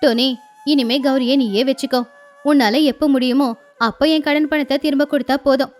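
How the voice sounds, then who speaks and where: A stern female crow moneylender played by a woman in a children's cartoon. The voice is high and full of moral weight, with music running underneath. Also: fairly even intonation, moderate pace, darkish tone, lightly acted. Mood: apologetic, kind. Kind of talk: cartoon moral story acting.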